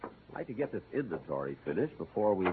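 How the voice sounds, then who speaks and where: A man hosting and starring in a 1940s radio comedy, giving exasperated, deadpan reactions. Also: deep voice, quick pace, noisy background. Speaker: comedy show host and lead. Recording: tinny radio broadcast with live audience.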